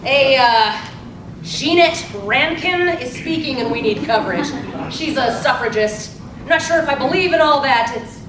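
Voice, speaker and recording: A woman's voice: high-pitched, animated, moderate pace. A woman playing a brusque, dismissive male newspaper boss of the suffrage era in a staged reading, curt and businesslike. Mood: brusque, evasive. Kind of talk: live staged play reading. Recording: echoey hall from far off, noisy ambience.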